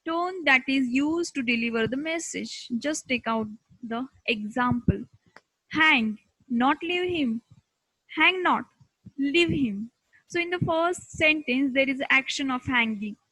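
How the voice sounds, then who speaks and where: Female instructor, Indian accent, slow and deliberate scripted lesson read, close dry sound. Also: high voice, fairly bright tone, no echo, poor audio. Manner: deliberate, halting phrasing in short chunks.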